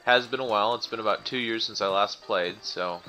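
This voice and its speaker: A man's voice: lowish voice, brisk, low-quality recording. A man giving casual, conversational commentary as he plays a video game. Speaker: let's play commentator.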